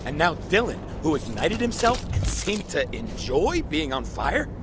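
A man's voice: fairly high pitch, very animated, a little slow, background noise. An actor playing a wisecracking, exasperated male zombie-apocalypse survivor in a video game, wry and sarcastic. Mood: wry, puzzled. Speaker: player character in a zombie action game. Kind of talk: cinematic game voice acting. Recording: loud game music underneath.

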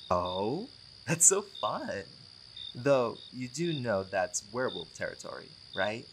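A man acts out a centuries-old vampire with an easygoing, teasing charm. His pitch moves in lively swings as he chats casually, as if to a friend in an audio roleplay. Clear night noise of crickets and insects sits behind him. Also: slow pace. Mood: easygoing, intrigued. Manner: joking, teasing, often chuckling.

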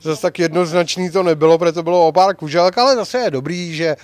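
An older man hosting a bowling tournament, chatting and teasing as he reports and interviews players with a handheld mic in a large bowling alley. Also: on the high side. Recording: faint hall noise.

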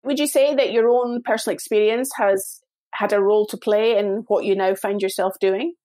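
A woman's voice: mid-range voice, fairly even intonation, normal speed, dark tone. A woman hosting a podcast, reading a polished introduction and asking interview questions. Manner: polished, welcoming presenter delivery. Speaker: podcast host.

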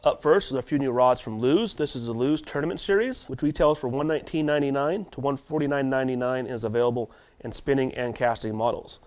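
A man in his thirties hosting a tackle shop video, running through product specs in a steady delivery with small restarts, in a warehouse storeroom. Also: medium pitch, quick pace. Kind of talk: product rundown to camera. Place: warehouse storeroom with shelves of boxes.

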